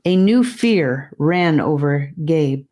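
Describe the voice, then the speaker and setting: Lady reading a fantasy audiobook, low voice, really unhurried storybook delivery. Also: expressive, mellow. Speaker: audiobook narrator. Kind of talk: scripted fantasy story narration.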